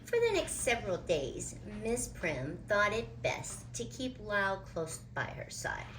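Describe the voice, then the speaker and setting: A woman reading a children's picture book aloud with deliberate, dramatic phrasing. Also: medium pitch, sing-song, fairly bright tone, slight background noise, low-quality recording. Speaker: children's storybook reader.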